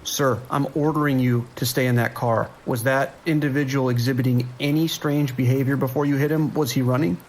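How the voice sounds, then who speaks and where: A man's voice: medium pitch, fairly even intonation, fairly quick, hardly any pauses, a little mellow, slight background noise, natural voice, low-quality recording. A man playing a desperate police dispatcher under siege in a horror audio drama, talking in urgent, clipped, commanding emergency-radio style.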